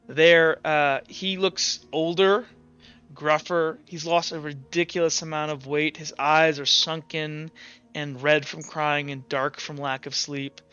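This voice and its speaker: A man narrating a tabletop roleplaying game as game master, informal and halting, pausing to think. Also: a little high, a bit bright, smooth. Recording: slightly dull audio with faint music. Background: faint music.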